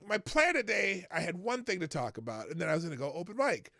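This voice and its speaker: A man hosting a live talk stream from a studio, chatting casually in a rambling, animated way that repeats phrases and drops the odd swear word. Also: high voice, fast, dry recording. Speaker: livestream talk show host. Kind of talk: casual live-show chat. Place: home studio. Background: faint music.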